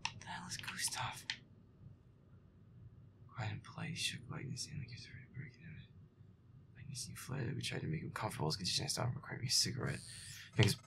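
Man acting feisty gamer boyfriend, sarcastic, foul-mouthed, gravelly, whispery muttering, audio roleplay. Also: on the low side, big pitch swings, fast talker, pauses often, full character voice. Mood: grumbling, wary. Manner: sarcastic, profane, muttering to himself. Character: feisty, foul-mouthed werewolf boyfriend grumbling through a game. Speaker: gamer playing a scary game.

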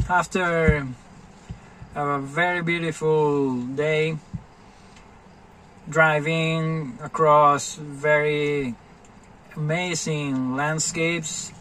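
A man in his forties with Spanish as his first language, talking slowly in simple phrases into a phone held at arm's length outdoors at a riverside campsite. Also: fairly high pitch, steady tone, fairly bright tone, slight background noise, poor audio. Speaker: vlogger. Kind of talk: casual travel vlog.

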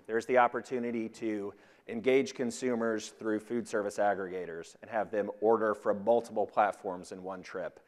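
A middle-aged man speaks as a corporate presenter on a conference stage. His voice sits on the low side, and a long hall reverb follows his words as he delivers a prepared business talk. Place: large indoor conference stage before an audience.

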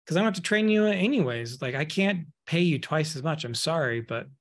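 A man's voice: a little high, lively intonation, fairly quick. A man in his thirties talks candidly as a podcast guest, picking up speed when he gets worked up. His voice sits in the middle range. He comes through a webcam on a video call from an office room. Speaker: guest, accounting firm owner. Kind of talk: casual podcast interview.

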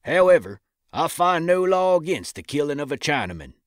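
An actor playing a cantankerous, pompous old saloon judge of the Old West in an audio drama. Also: male voice, fairly high pitch, big pitch swings, normal speed, no echo, clean studio sound. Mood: pompous, official.

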